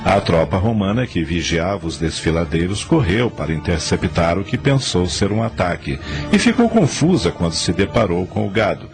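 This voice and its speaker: A man's voice: low-pitched, big pitch swings, normal speed, vocal fry, few pauses, over music. A man narrating a radio drama from a script, telling the story in a dramatic style.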